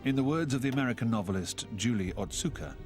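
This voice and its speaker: A man narrating a historical documentary in a measured, solemn scripted delivery, recorded as a studio voice-over. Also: mid-range voice, animated. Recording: narration under loud music score.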